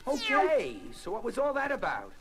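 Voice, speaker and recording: A man's voice: very high voice, wildly swooping pitch, normal speed, loud music behind the voice, full character voice. A man playing a brash, touchy young superhero kid brother in a comic-book audio drama, mocking and slangy. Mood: fed up. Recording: noisy background ambience.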